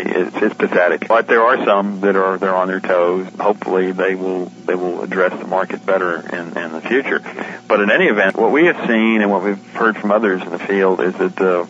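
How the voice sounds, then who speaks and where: A man's voice: low voice, fairly bright tone. A man speaking candidly and colloquially as a guest in an informal radio interview. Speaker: editor and publisher, interview guest. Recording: dull, lo-fi radio interview recording.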